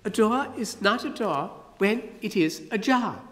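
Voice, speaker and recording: A narrator putting on a bright, earnest storybook girl, clever and proud, pitched well above plain narration. Her words rise and fall widely, under a long hall reverb. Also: expressive, unhurried. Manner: earnest, musing.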